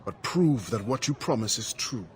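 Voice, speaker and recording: An actor playing a proud, stoic assassin, terse, measured and wary, in a video game cutscene. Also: male voice, mid-range voice, animated, a little mellow, slight background noise, natural voice. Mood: proud, guarded.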